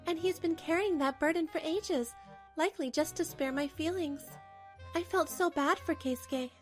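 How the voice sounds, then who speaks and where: A woman's voice: high-pitched, normal speed, soft background music. A woman narrating a manga dub as the wronged wife, in a chatty, dramatic inner monologue.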